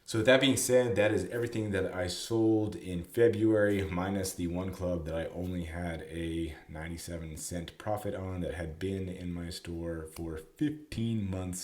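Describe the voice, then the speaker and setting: A slightly hoarse man, who is sick and losing his voice, recapping his golf club sales in a chatty way. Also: deep voice, a little mellow. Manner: chatty, explanatory, with frequent fillers. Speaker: golf club reseller and vlogger. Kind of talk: casual to-camera sales recap. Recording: roomy echo.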